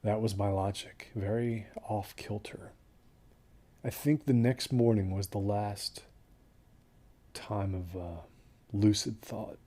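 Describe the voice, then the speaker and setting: Audio drama survivor played by a man: low, soft dark timbre, haunted and halting, sinking toward a hush. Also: fairly even intonation. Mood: weary, reflective. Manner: halting, hushed, trailing into near-whispers. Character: shaken male desert-hike survivor, haunted and weary. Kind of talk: audio drama monologue, first-person recollection.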